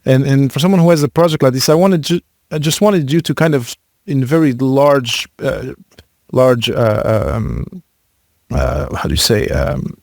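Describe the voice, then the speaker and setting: A male podcast host asks long, winding questions, rephrasing himself and filling gaps with fillers as he searches for the right words. His voice is mid-pitched and sounds close and dry, with no echo around it. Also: animated, measured pace, vocal fry, darkish tone. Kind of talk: conversational interview questions.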